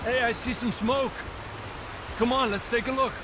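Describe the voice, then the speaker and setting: An actor playing a loyal, earnest Mexican outlaw in a video game, speaking with a Mexican accent in short, encouraging phrases. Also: male voice, very high voice, steady tone, moderate pace, very noisy. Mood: focused. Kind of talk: video game cutscene acting.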